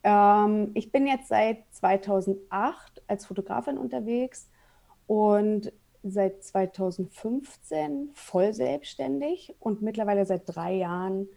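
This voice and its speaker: Chatty woman with a mellow voice. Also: medium pitch, slow, gravelly. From Berlin, Germany. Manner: open, lively storytelling with many fillers. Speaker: guest, photographer. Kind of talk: casual interview.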